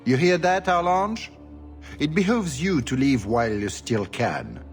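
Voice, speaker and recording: Casting: urbane, sardonic game duke, mid-pitched with huge melodic swings, mock-courteous, music underneath. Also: male voice, sing-song, unhurried. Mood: amused, cold. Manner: urbane, flowery, mockingly courteous. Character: suave, theatrical, sardonic Orlesian duke hosting festivities. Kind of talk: video game cutscene acting.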